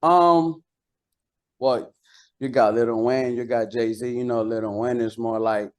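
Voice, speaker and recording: A man's voice: mid-range voice, fairly even intonation, slow pace. Casual, colloquial man from Costa Rica. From San Jose, Costa Rica. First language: Spanish. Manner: colloquial, peppered with "like" and "you know". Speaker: interview guest, bilingual rapper and songwriter. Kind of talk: casual interview chat.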